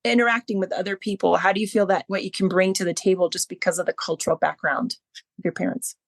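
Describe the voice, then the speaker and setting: A woman's voice: medium pitch, steady tone, fast, gravelly, no room sound. Female podcast interviewer, conversational and loosely phrased, recorded dry. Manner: loosely phrased, winding questions. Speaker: podcast host. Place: remote online call.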